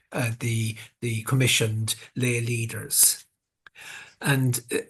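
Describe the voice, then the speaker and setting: A man hosting a parish workshop, talking in a measured way and repeating words as he searches for them. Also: mid-range voice, slightly rough. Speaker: workshop host.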